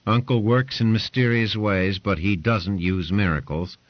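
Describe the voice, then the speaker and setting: A man's voice: fairly low pitch, fairly even intonation, unhurried, no room sound, lo-fi sound, pitched above the performer's own voice. A man voicing a domineering male lord who talks in curt, commanding orders.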